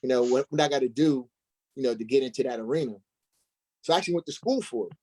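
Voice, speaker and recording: A man's voice: medium pitch, fast talker, a little mellow. A middle-aged man being interviewed as an actor, telling personal stories in an informal, slangy way. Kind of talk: casual personal storytelling.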